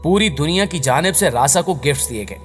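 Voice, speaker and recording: A man narrates a scripted dramatic story in a fairly high voice with very animated intonation. His voice-over carries a long reverb. He delivers it as a storyteller addressing listeners. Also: fairly quick. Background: background music.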